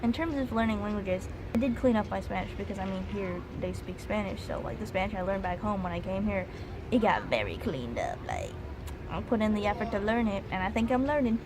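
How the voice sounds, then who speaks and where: A woman's voice: mid-range voice, brisk. A woman in her twenties with an American accent, chatting informally and reflectively to camera as a student vlogger, rambling and self-aware. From United States. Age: 20s. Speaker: study abroad student vlogger. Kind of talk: casual reflective chat to camera. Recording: clearly noisy recording with ambient background.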